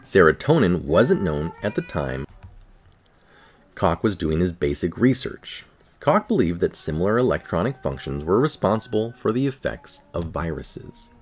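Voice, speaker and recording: A man reading a scientific article aloud in a careful, measured manner. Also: sits low, sing-song. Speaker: article reader.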